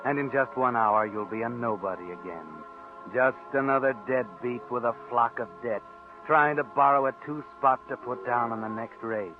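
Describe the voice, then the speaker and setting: An actor playing a sinister, sardonic narrator who taunts a schemer in a 1940s radio thriller. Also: male voice, medium pitch, moderate pace, close to an everyday voice. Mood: mocking. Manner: sardonic, insinuating. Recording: tinny old radio recording with music.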